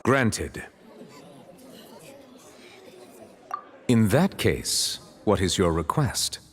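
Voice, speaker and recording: Grave male judge, game courtroom: formal, commanding, animated pitch, long reverb. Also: heavily acted. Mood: firm, official. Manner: formal, authoritative, measured. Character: stern, formal male judge presiding over a grand court. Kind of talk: video game voice acting. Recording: long echoing hall, crowd behind.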